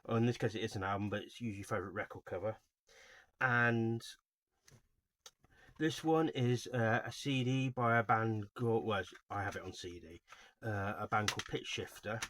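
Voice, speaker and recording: A man giving informal, unscripted video commentary as a host, in a halting, rambling way with frequent self-corrections. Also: lowish voice, fairly even intonation, fairly bright tone. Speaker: host of a video series on album cover art.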